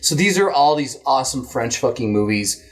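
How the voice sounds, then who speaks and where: A man's voice: mid-range voice, animated, normal speed, poor audio. Guy podcast host rambling casually about stuff, swears a lot, music behind him. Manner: rambling, informal. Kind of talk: casual movie chat, swearing freely.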